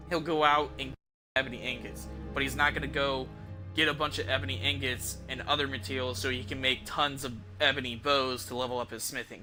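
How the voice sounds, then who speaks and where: A man giving casual live commentary as a video game streamer, rambling informally and repeating himself. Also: medium pitch. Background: game music and sounds.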